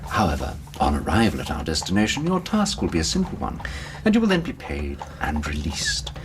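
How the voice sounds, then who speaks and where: Courteous, menacing kidnapper, hugely varied pitch. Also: male voice, huge pitch swings, fairly quick, a little hiss or hum. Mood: cool menace. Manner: polite, formal, threatening. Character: smooth, menacing gentleman kidnapper, coolly courteous. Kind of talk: radio drama acting.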